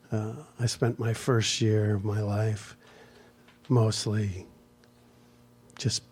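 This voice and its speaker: A man in his sixties, a comedian introducing himself in a deadpan, halting way. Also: lowish voice. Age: 60s. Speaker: stand-up comedian, guest actor. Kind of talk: deadpan comic self-introduction.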